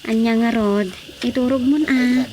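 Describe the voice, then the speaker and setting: A woman's voice: fairly high pitch, steady tone, moderate pace, crowd noise, lightly acted. A woman acting a dutiful, pleading young member of a household in an Ilocano radio drama. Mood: scolding.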